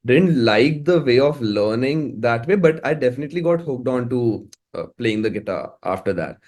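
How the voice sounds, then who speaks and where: A man's voice: medium pitch, animated, moderate pace, darkish tone. A man with an Indian accent chatting casually as an interview guest, informal and often repeating and restarting his phrases. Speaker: interview guest, musician and music producer.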